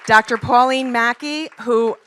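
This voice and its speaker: A woman's voice: on the high side, steady tone, measured pace, fairly bright tone, some chatter behind, slight background noise. A woman in her fifties, a nonprofit founder giving candid, chatty closing remarks to a live audience and rallying them with questions. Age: 50s.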